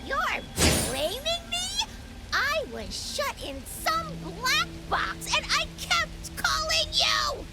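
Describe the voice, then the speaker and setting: A little indignant game creature with a childlike squeak. The pitch is extremely high and bounces around widely. Loud music fills the background. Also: female voice, big pitch swings, slow pace, heavily acted. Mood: indignant, whining. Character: tiny, indignant, squeaky scamp. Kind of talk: video game voice acting.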